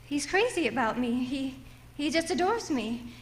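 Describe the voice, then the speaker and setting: An actress playing a nervous, demanding wealthy invalid wife in a radio thriller, flustered and stammering, with haughty insistence. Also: female voice, high voice, expressive, normal speed. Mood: shaken, defensive. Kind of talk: old-time radio thriller acting.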